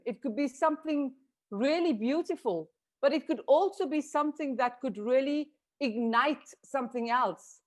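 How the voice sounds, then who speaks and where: A woman from South Africa answering questions on a video call in an earnest, grateful way, with frequent restarts. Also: high-pitched. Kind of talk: reflective panel discussion.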